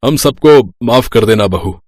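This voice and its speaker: A man's voice: mid-range voice, expressive, a little slow, a little mellow. A man playing an apologetic middle-aged father-in-law, explaining earnestly in a cartoon family story. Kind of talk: Hindi cartoon story voice acting.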